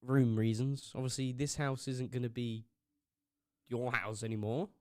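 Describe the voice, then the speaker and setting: A young man co-hosting a casual podcast, teasing his brother with quick interjections. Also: medium pitch, little pitch movement, mellow, clean tone, dry recording, clean studio sound. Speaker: podcast co-host. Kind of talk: casual chat. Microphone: studio podcast mic.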